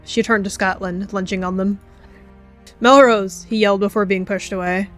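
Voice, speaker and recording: A woman's voice: mid-range voice, little pitch movement, fairly quick, faint music behind. A woman narrating as a storyteller, reading scripted fiction in a dramatic style. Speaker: storyteller and roleplayer.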